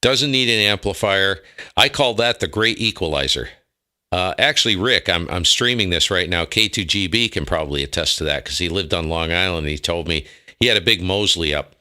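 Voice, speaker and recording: Bright, forceful American man. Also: deep voice, lively intonation, brisk. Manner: enthusiastic, slangy. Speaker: ham radio operator and streamer. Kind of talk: casual radio chat.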